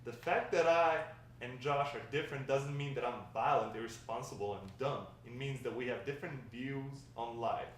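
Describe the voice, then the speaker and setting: A man's voice: mid-range voice, moderate pace, natural voice. Man playing brash grandpa in an echoey room. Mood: spirited, defiant. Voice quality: slangy, swaggering talk. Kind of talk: amateur screenplay table read. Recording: echoey room, distant mic, faint noise.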